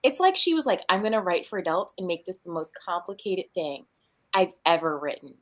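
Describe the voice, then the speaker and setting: A woman's voice: fairly low pitch, animated, brisk. Blunt woman chatting casually. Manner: blunt, exasperated. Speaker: book reading livestream host. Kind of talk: casual chat about books.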